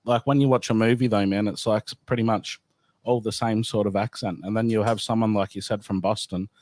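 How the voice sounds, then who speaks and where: A man hosts a loose, casual chat and asks questions in an offhand way, swearing freely. His voice sits on the low side. The sound is dry, with no room around it. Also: steady tone, brisk, a little mellow, no echo. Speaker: host.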